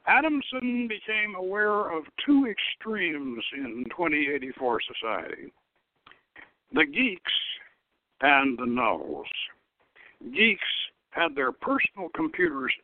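A man hosting a radio show, giving a dramatic reading of satirical fiction in a halting way, stumbling and rereading phrases. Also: on the high side, sing-song, no room sound. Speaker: radio show host, author reading own novel. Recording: thin phone-like radio line.

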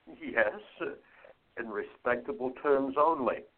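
A man playing a sharp-minded, prim older radio station manager in a 1920s radio play. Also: mid-range voice, slow pace, natural voice. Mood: stern, businesslike. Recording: noisy, tinny phone-like line.

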